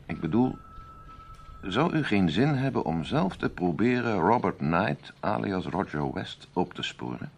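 An actor in a Dutch radio play playing a grave, authoritative intelligence major, measured and emphatic. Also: male voice, low voice, big pitch swings, vocal fry, a little hiss or hum, exaggerated voice. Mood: grave, persuasive.

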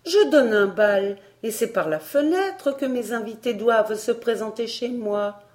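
A woman's voice: on the high side, lively intonation, a little slow, darkish tone, pitched above the performer's own voice. A woman voicing a sour, indignant, paranoid governess in a storybook, with a theatrical, scolding manner. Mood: grand, emphatic.

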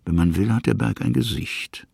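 A reflective storyteller in a radio play. His voice is very husky and crackles with heavy fry, recorded close and dry. He sets out each image in short, measured fragments. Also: fairly low pitch, darkish tone, dry recording, full character voice. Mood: musing. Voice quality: gravelly, husky. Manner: measured, musing, fragmentary phrasing. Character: slow, musing male storyteller. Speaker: narrator. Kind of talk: literary radio drama narration in present tense.

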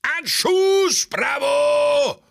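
A man playing members of a rowdy, gullible street mob in a radio play, shouting and jeering with a Viennese accent. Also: very high voice, extremely slow, vocal fry, pitched above the performer's own voice, heavily acted.

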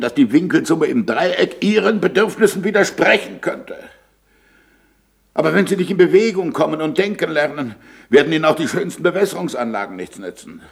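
A man's voice: on the high side, gravelly. A man acting an impassioned, sarcastic middle-aged scientist in a German radio play, swinging between declamation and muttering.

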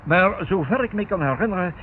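On a tinny, faintly noisy radio recording, a formal male solicitor. His voice is fairly high and swings about as he speaks in precise, fussy, emphatic phrases. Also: animated, fairly quick. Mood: fussy, explaining. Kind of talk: radio drama acting.

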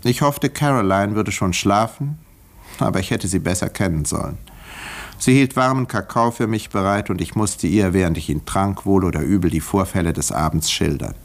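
Low-voiced, wry village doctor. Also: male voice, moderate pace. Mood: wry, measured. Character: male village doctor recounting a murder case, wry, measured. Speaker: narrator of a radio play. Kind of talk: scripted first-person narration, 1950s radio acting.

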